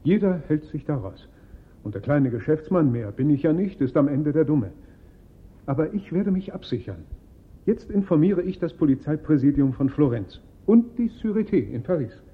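Radio drama: grumbling, self-important gallery owner, fairly high swooping voice, thin phone-like sound. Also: male voice, big pitch swings, moderate pace. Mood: aggrieved. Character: sceptical, irritable male art gallery owner. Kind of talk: German radio play acting.

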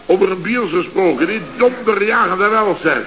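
An actor playing a flustered middle-aged contractor boss in a radio comedy, stammering, exclaiming and turning pompously polite. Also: male voice, high voice, moderate pace, a bit bright. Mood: panicked. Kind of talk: Dutch radio comedy acting. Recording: dull, noisy old radio recording.

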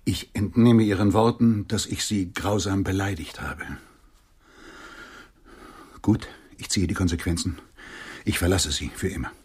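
A man's voice: low-pitched, steady tone, a little slow, long pauses, a little mellow, husky. An actor playing a bitter, cutting homeless man in a radio play, speaking in a blunt, theatrical and insulting way. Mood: cold, pompous.

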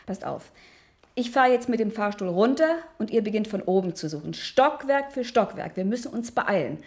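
An actress playing an urgent, defiant eighteen-year-old girl in a German radio drama, insistent and quick to talk back. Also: mid-range voice, animated, a little mellow. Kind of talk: German radio play acting.

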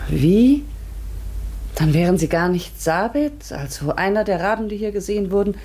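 A woman playing an inquisitive, quick-witted young lady in a radio play, questioning in an animated, husky voice. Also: mid-range voice. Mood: curious, challenging.

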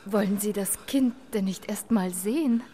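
An actress playing a brisk, matter-of-fact hospital nurse in a radio play. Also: female voice, mid-range voice, very noisy, lightly acted. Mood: calm. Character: brisk hospital nurse, middle-aged woman.

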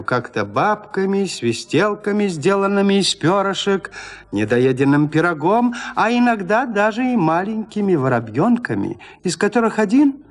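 A man narrating a literary tale in an expressive, dramatic storytelling manner, as in an audio play. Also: high voice, in a large hall.

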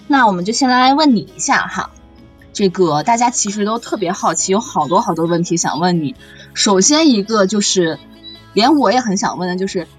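A woman's voice: medium pitch, breathy edge. A woman hosting a casual live voice chat in a teasing, reassuring way, full of exclamations. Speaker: host of a radio drama cast chat. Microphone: online voice chat.

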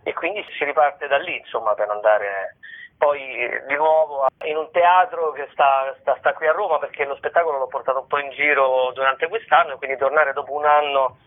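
High-pitched man on a tinny radio line. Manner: rambling, halting delivery with repeated words and tag questions. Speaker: guest, comedian and stage performer presenting his shows. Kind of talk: informal radio interview talk. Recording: band-limited radio or telephone-like sound.

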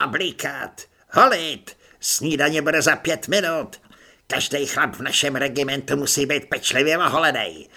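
A man voicing a loud, bossy army corporal barking wake-up orders. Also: extremely high pitch, lively intonation, hardly any pauses, breathy edge, voice pushed up, heavily acted. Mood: bellowing. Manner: shouting orders.

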